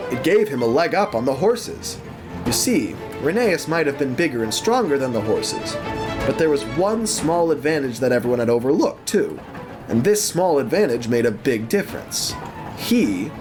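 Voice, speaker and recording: A man giving scripted, expressive narration for an audio drama in a storybook style, using dramatic pauses, with music underneath. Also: medium pitch.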